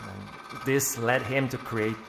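An actor playing an obliging art museum worker in an audio drama, explaining things informally and a little hesitantly in naturalistic dialogue. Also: male voice, mid-range voice, steady tone, takes it slowly, vocal fry, background noise. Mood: informative.

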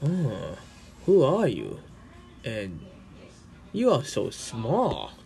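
A man's voice: mid-range voice, huge pitch swings, drawn-out words, gravelly, mellow, faint music behind, pitched below the performer's own voice, exaggerated voice. A man voicing a small, wistful, curious hedgehog in an amateur comic dub, musing aloud.

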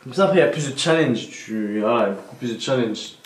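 A young man vlogging casually at home, excitable and chatty, talking straight to his viewers and laughing often. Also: medium pitch, fast. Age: young adult. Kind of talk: casual vlog to camera. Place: indoor bedroom at home. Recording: roomy echo, voice from off-mic.

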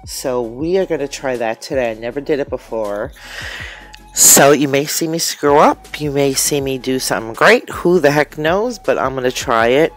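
A woman's voice: very deep voice, normal speed, soft background music. A woman with a congested, nasal voice from a cold, chatting informally and a little ramblingly as she presents a hands-on craft unboxing. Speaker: craft vlogger. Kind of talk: casual hands-on unboxing chat. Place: indoors at a craft table.

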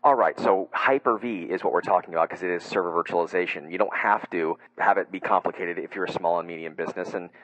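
A man's voice: low voice, quick pace, hardly any pauses, a bit bright, no room sound, poor audio. Upbeat man hosting a dry, close tech webcast. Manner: upbeat, asks long framing questions with many fillers. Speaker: webcast host. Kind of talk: conversational tech webcast interview.

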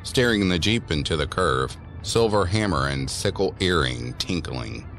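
A man's voice: low-pitched, animated, unhurried, fairly bright tone, full character voice. A man narrating a scripted spooky story about teens, as a storyteller in an audio drama. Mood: measured, eerie. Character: male storyteller of a spooky teen tale. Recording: music under the voice.

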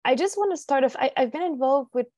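A young woman with a Quebec French accent speaks over a dry, close video call line, her tone mellow and soft-edged. She is friendly and a little hesitant, restarting phrases as she presents, and her voice sits a bit high. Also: animated, fast, dry recording. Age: young adult. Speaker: family physician guest speaker. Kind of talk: webinar presentation.

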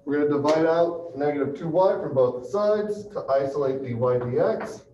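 A man in his thirties giving a math lecture in halting phrases, often checking in with his listeners, picked up by a distant camera mic in a classroom. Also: a little high, mellow. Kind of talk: classroom calculus lecture, working through steps aloud. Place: small classroom with chalkboard and projector. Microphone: far camera. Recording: muffled, roomy, distant mic with hiss.

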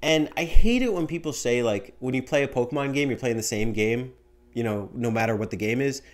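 A man's voice: mid-range voice, a little fast. A young man hosting a show, answering viewer voicemail in a casual, rambling way with lots of fillers, recorded at home on a studio mic. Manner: animated, rambling with fillers, gesturing. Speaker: host. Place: small home room with bookshelf and window. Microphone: studio mic on stand in front.

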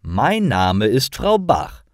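Man reading as a clear-spoken, animated woman teacher. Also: mid-range voice, takes it slowly, dry recording, clean studio sound, exaggerated voice. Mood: instructive. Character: female schoolteacher aged thirty-seven, orderly and instructive. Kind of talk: storybook character reading.

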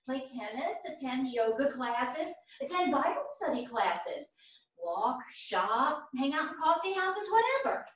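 An actress playing a brisk, teasing, persuasive older woman, emphatic, in a theatrical staged reading. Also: sits high, very animated. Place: small theatre stage, far camera. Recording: echoey theatre, distant microphone.

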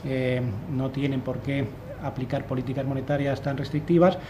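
A man's voice: medium pitch, flat delivery, moderate pace, background noise. A man in his thirties speaking on a panel in a conference room, explaining his analysis with many restarts. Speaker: fund manager on a panel. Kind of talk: panel discussion. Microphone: desk microphone.

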